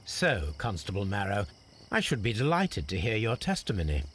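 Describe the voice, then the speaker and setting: An actor playing a shrewd, composed Victorian gentleman detective with an English accent, formal and measured, in a video game drama. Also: on the low side, wildly swooping pitch, slight background noise. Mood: inquiring, courteous.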